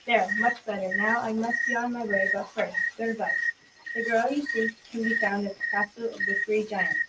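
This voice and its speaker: A young female amateur playing a proud, mysterious poor old woman in a radio play, with halting, repetitive delivery. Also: medium pitch, fairly even intonation, natural voice. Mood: cryptic advising. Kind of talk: amateur school radio drama acting. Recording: distant mic, loud background noise.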